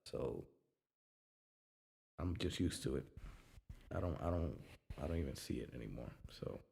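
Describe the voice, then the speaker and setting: A man's voice: a bass voice, normal speed, creaky, a little mellow, breathy edge. A man with a Puerto Rican Spanish accent co-hosting a podcast, chatting casually and rambling, often repeating words as he restarts. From Puerto Rico. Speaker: podcast co-host. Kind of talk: casual chat.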